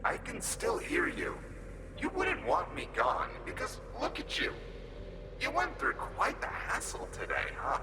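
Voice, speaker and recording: A man's voice: extremely high pitch, wildly swooping pitch, unhurried, very noisy, heavily acted. A man voicing a mocking, glitchy, grinning entity in the mind of the hero, with a taunting, condescending manner. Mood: teasing. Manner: taunting, condescending, sing-song. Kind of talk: audio drama acting.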